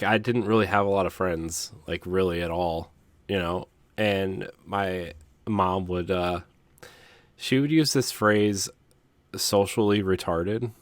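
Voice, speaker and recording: A man talking casually and candidly as a podcast co-host, with a halting, hedging delivery. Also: deep voice, a bit bright, no room sound.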